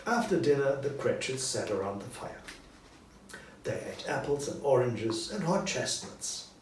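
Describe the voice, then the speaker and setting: Guy reading a storybook aloud, dramatic, lively intonation, echoey room, faint noise. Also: mid-range voice, measured pace. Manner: dramatic, clearly phrased. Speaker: narrator, audiobook reader. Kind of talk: expressive scripted storytelling for language learners. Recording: roomy, distant, faint background noise.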